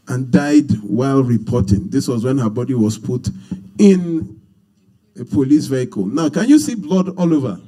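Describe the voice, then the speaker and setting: Man, Nigerian accent, medium pitch, very muted dark tone, emphatic public speaker, unscripted. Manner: earnest, emphatic, repeats phrases. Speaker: speaker leading a marriage seminar. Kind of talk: unscripted public talk to an audience.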